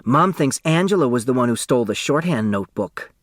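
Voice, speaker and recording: A man voicing a brash, sharp-tongued little sister in an audiobook, blunt and combative. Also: mid-range voice, expressive, a little fast, no room sound, clean studio sound, natural voice. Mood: cocky, needling.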